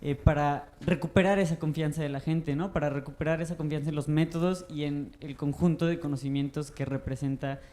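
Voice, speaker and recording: Mexican man, audience questioner, soft mellow tone, hesitant. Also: fairly high pitch, steady tone, brisk, few pauses. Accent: Mexican Spanish. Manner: thoughtful, hesitant, many fillers. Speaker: audience member asking a question.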